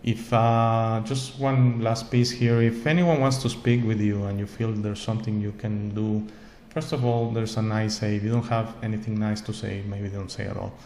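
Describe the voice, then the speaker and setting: Earnest low-voiced man with an echo. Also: flat delivery, normal speed, few pauses, darkish tone. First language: not English. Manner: earnest, rambling, repeats words, frequent "okay?". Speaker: host. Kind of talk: spiritual monologue to camera. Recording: echoey room with faint ambient noise.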